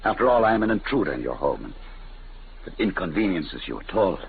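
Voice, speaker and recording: An actor in a 1950s radio drama playing an older refugee scientist, weary and courteous, with a formal, grave, gravelly delivery. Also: male voice, deep voice, big pitch swings, fast, full character voice. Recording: tinny, noisy old radio recording.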